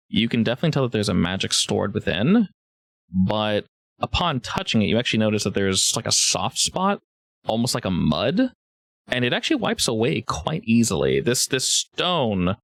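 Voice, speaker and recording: A man's voice: medium pitch, dry recording. A man improvising narration as game master of a tabletop roleplaying game, informal and joking with the players.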